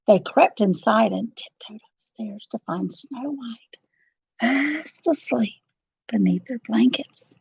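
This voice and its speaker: Slow, animated woman storyteller. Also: fairly high pitch, gravelly, husky, no echo, rough recording. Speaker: children's storyteller. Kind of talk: expressive scripted storybook narration.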